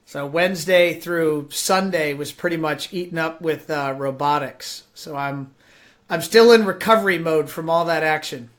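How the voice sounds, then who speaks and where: A man hosting a live-streamed interview show, chatty and upbeat with many fillers, his voice raspy and hoarse from allergies. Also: fairly high pitch, normal speed, fairly bright tone. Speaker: host.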